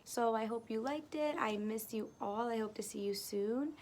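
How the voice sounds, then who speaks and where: A woman's voice: a little high, normal speed, a little mellow, a little hiss or hum. A woman teacher reading a children's story aloud, narrating expressively with plain explanatory asides.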